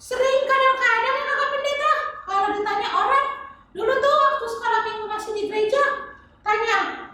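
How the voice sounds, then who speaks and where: A woman's voice: very high-pitched, a little fast, bright, forceful tone, voice pushed up. A woman playing a chatty, cheerful little girl who talks in a playful sing-song way. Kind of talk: Sunday school puppet-style dialogue. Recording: echoey room, mic far off.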